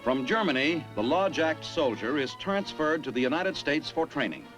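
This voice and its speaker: Lively-voiced documentary narrator, man. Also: on the high side, moderate pace, music in the background, poor audio. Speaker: newsreel narrator.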